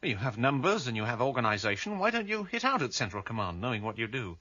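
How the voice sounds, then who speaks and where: A man's voice: medium pitch, very animated, fast, bright, forceful tone. An actor in a radio drama playing an earnest, driven male outcast on a search, speaking in an intense, formal and urgent manner. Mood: pressing, indignant. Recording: dull, band-limited radio drama recording.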